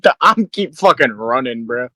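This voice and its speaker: A man's voice: mid-range voice, animated, normal speed, sharp tone, slight background noise. A man playing a tabletop role-playing game, chatting casually with slang and swearing as he describes his character's actions.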